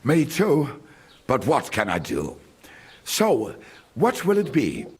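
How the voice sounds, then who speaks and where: An actor playing a grumbling, condescending village merchant in a video game, with a German accent and German phrases slipped in. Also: male voice, mid-range voice, wildly swooping pitch, slow pace, breathy edge, exaggerated voice. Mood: aggrieved. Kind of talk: video game character dialogue.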